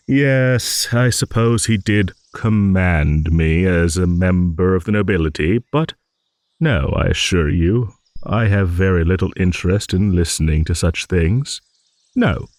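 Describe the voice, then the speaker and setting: A man voicing an ancient, genial dragon in an improvised fantasy audio roleplay, rambling and musing like a refined, hesitant old gentleman. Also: fairly low pitch, no echo. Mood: amused, fond.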